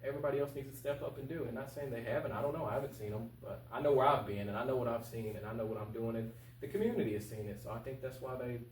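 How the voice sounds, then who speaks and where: Young guy in his 20s talking fast, casual n emphatic, echoey room, faint hum behind. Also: medium pitch, darkish tone. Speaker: interview guest, candidate for local office. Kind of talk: casual, earnest campaign interview talk. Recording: roomy, distant mic with faint hum.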